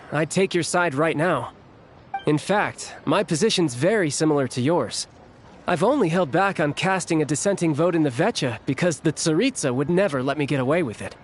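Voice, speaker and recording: A man's voice: a little high, slight background noise, lightly acted. An actor playing a confident young military officer in a video game, easygoing and candid, earnest about his loyalties.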